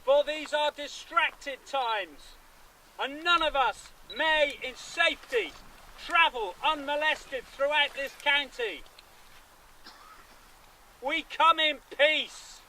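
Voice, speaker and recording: A man playing a defiant seventeenth-century country lawyer, declaiming deliberately to rally his armed neighbours outdoors in a historical reenactment. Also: very high-pitched, bright, forceful tone, heavily acted, poor audio. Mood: earnest, grave. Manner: declamatory, deliberate, with emphatic pauses. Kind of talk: reenacted historical public speech. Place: outdoors at a rural gathering. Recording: outdoor recording with background noise.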